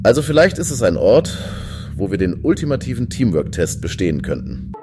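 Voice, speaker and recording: Man playing a deep-voiced, animated space explorer. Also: a little fast. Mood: earnest, hopeful. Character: hopeful male space explorer, keen leader. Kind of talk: science fiction audio drama acting. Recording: music behind the voice.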